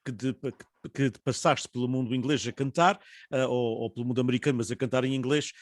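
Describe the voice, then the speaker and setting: A man's voice: mid-range voice, fairly even intonation, a little fast, dry recording. Moderating man with winding questions. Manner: long winding questions with many hesitations. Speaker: panel moderator. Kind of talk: online panel interview.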